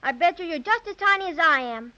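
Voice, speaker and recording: A woman's voice: extremely high pitch, lively intonation, quick pace, bright, forceful tone, exaggerated voice. A woman playing an eager, impatient little girl full of wonder in a 1930s children's radio serial. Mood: excited, eager. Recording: dull lo-fi 1930s radio transcription.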